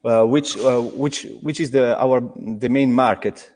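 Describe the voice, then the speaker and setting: Halting man with reverb. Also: mid-range voice, a little mellow. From Italy. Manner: halting, many restarts and fillers. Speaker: craft brewer, guest. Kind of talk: casual video call chat.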